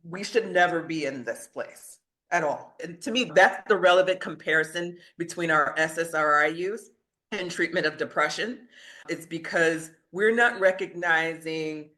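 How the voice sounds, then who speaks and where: Bright, emphatic man asking pointed questions. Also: fairly high pitch, moderate pace. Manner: emphatic, rhetorical questions, laughs between points. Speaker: physician guest. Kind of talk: podcast discussion.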